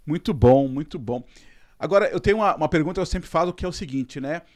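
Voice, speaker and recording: A man's voice: on the high side, expressive. A man hosting a podcast, asking questions in a chatty way and often checking in with his guest. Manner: quick, chatty, keeps checking in with "né?". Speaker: podcast host. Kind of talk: conversational interview.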